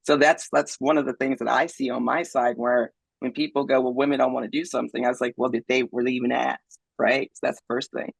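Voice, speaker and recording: A man speaks in a gravelly, slightly husky voice, recorded close and dry. He talks casually as an interview guest, often tagging his points with a quick "right?". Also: medium pitch, little pitch movement, no echo, rough recording. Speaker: podcast guest, investor. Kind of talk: conversational interview.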